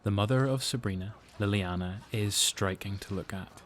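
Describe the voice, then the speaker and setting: An actor narrating a post-apocalyptic audio drama as a grave, measured storyteller with a Northern Irish accent. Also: male voice, lowish voice, a little hiss or hum, natural voice. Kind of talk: scripted narration.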